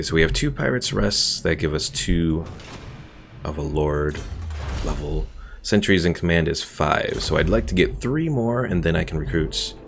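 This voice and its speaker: A man's voice: rumbling low, creaky, a bit bright. A man streaming a strategy game with casual live commentary, thinking aloud and reading chat.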